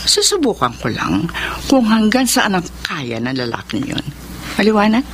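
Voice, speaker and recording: A woman's voice: mid-range voice, big pitch swings, normal speed, slight background noise, natural voice. A woman with a Filipino accent playing a stern, vengeful middle-aged boss in a radio drama, commanding and slipping into English. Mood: bitter, scheming. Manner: commanding, code-switching into English. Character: stern, vengeful older female boss, jilted long ago. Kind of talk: radio drama acting with narration.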